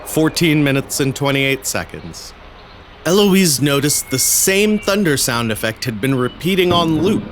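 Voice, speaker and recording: A man's voice: fairly high pitch, big pitch swings, measured pace, noisy background. A man narrating a scripted audio story.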